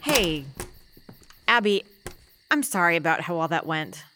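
Wry, animated older woman. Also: fairly low pitch, a little slow, exaggerated voice. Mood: wry, consoling. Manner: wry, folksy, gently teasing. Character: wry, kindly older small-town mother. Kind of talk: naturalistic audio drama acting.

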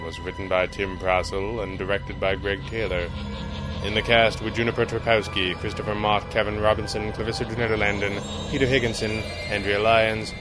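A man with a fairly low voice works as a podcast announcer. He reads scripted credits and plugs in radio-drama fashion, with soft music underneath. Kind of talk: scripted credits and sponsor read.

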